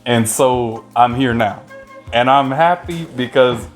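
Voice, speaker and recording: A man from the United States speaks as a guest in a relaxed, chatty style, telling his own story. His pitch sits in the middle of the male range, and faint music runs underneath. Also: slow pace. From Las Vegas, United States. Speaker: guest, channel owner and company founder. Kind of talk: casual chat, sharing his story.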